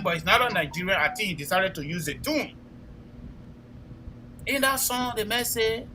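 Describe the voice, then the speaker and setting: A man's voice: high-pitched, pushed and bright, a little hiss or hum, poor audio. A man with a Liberian accent giving impassioned political commentary, speaking emphatically and exhorting listeners with repeated phrases. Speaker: co-host of a political talk show.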